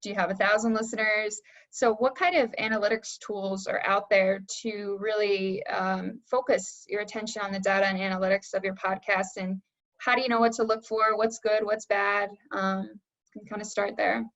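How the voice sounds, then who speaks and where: A woman's voice: medium pitch, fairly even intonation, moderate pace, fairly bright tone, dull audio. A woman moderating a panel, putting questions to guests in a conversational way.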